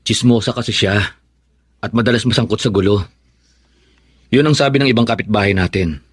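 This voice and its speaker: An actor playing a cautious, stern husband with a husky voice, speaking in a measured, admonishing way in a Tagalog radio drama. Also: male voice, on the low side, no room sound. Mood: cautioning. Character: cautious, stern husband warning his wife.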